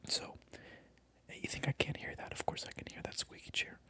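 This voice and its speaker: A man's voice: normal speed, bright, forceful tone. A man whispering through a comedic ASMR role-play, mock-indignant and repeating his phrases over and over. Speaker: ASMR creator.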